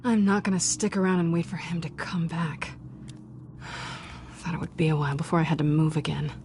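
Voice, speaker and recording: A woman's voice: deep voice, steady tone, moderate pace, background noise. An actress playing a wry, independent, street-smart young American woman, blunt and guarded, in a video game drama. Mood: wry, resigned. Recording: music behind the voice. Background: music.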